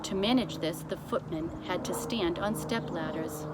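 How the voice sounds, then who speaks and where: Woman reading a storybook over clear background noise. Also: fairly low pitch, moderate pace. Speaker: storybook reader. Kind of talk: scripted narration, children's story read aloud. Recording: clearly noisy amateur recording.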